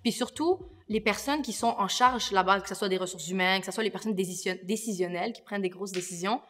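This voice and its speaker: A woman's voice: medium pitch, fast, a bit bright. A woman from Quebec explaining things informally as a guest on a panel. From Quebec, Canada. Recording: reverberant room.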